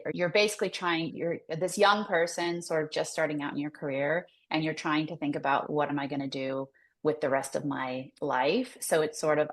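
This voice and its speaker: An American woman explaining her work as a career coach in a conversational, loosely phrased interview style. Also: sits low. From United States. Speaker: career coach, podcast guest.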